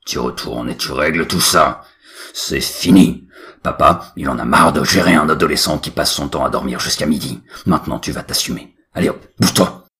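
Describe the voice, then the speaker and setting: A man voicing God as an all-powerful, angry father, with a deep, firm, roaring voice in an audio story. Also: a bit bright, exaggerated voice. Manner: commanding, slow roaring delivery.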